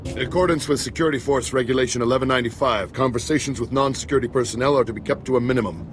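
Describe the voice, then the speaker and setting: A man's voice: mid-range voice, rapid-fire, a little hiss or hum, lightly acted. A man playing a brusque, by-the-book security captain in a video game, curt and officious. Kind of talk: video game dialogue acting.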